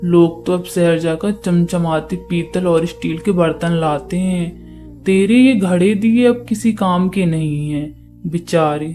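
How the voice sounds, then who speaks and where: A woman's voice: lowish voice, slow pace, warm tone, faint music behind. A woman voicing a scornful, dismissive villager in a cartoon folk tale. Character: scornful villager dismissing a potter's old-fashioned wares.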